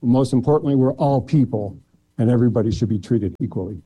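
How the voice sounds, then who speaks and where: A man's voice: mid-range voice, quick pace, dark tone, breathy edge. A man answering questions as a candidate for county clerk, earnest and plainspoken with some light joking.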